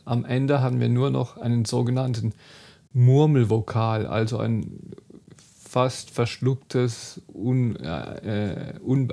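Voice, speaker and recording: Slow, gravelly-voiced man teaching pronunciation. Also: medium pitch, mellow. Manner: slow, demonstrative, repeats model words. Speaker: German teacher. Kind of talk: online phonetics lesson.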